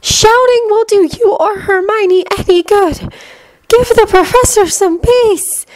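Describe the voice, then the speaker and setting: A woman voicing a calm, caring teenage girl held prisoner in a story, soft-spoken and gently firm. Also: extremely high pitch, slow pace, no room sound, voice pushed up. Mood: gentle, firm.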